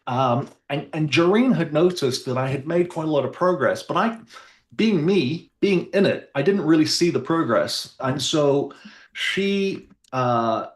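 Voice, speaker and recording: A man's voice: fairly high pitch, expressive, unhurried, reverberant. A man who is a guest on a radio interview, telling his personal story in an earnest, rambling way. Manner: earnest, rambling, with fillers and restarts.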